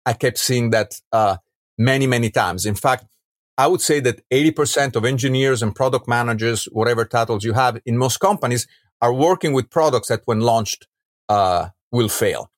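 A man's voice: medium pitch, normal speed. The guest on a business podcast, an Italian man making emphatic points in a snug, echo-free studio. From Rome, Italy. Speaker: podcast guest, entrepreneur and author. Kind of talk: conversational interview.

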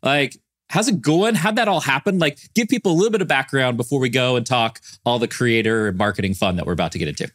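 Energetic guy hosting a podcast, bouncy voice going up and down, laughs a lot. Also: mid-range voice, fast talker, dry recording, studio quality. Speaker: podcast co-host. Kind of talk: casual podcast chat.

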